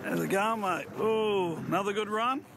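High-pitched man presenting on a windy boat. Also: normal speed. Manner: upbeat, chatty presenter. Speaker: fishing show host. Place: outdoors on a boat at sea. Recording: windy outdoor boat, loud noise.

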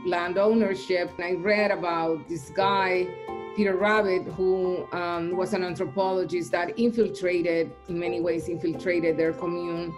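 Thoughtful woman talking over guitar music. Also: fairly low pitch. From El Salvador. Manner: thoughtful, with frequent um and uh pauses. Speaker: artist and professor. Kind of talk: reflective interview monologue. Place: indoor home room. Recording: music playing under the voice.

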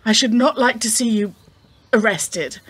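A woman's voice: fairly high pitch, a little slow, slightly rough. A woman playing a genteel, anxious upper-class 1920s wife in a radio play, formal and pleading.

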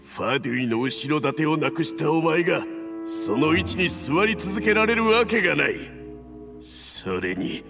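男性の声優がゲームで演じる、毒で弱り、息も絶え絶えに高圧的に脅す傲慢な使節。. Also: male voice, a little high. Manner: haughty, gasping, halting threats. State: weakened and struggling from poison. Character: arrogant male envoy, poisoned, betrayed and indignant. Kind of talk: dramatic game cutscene acting in Japanese anime manner. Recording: long reverb, music underneath.

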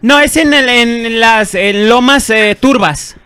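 A man's voice: extremely high pitch, takes it slowly, bright, forceful tone, smooth. A young man hosting a comedy show, bantering casually and making prank calls into a handheld microphone. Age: young adult. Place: small room studio, indoors.

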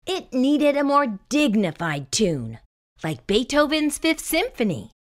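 A woman reading a children's picture book aloud in expressive, scripted narration. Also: sits high, slow pace.

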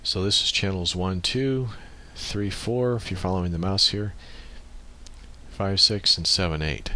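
A man giving a step-by-step software tutorial as screencast narration, speaking into a USB microphone. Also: deep voice, unhurried.

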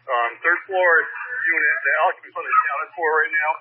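A man's voice: on the high side, moderate pace, noisy background. A man, a firefighter, giving terse status reports over two-way radio. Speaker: firefighter at incident. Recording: rough, narrow-band fireground radio.

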